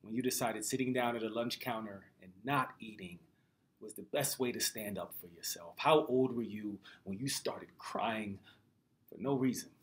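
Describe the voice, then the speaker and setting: An American man reciting spoken word poetry with rhythmic, deliberate phrasing. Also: on the low side. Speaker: poet.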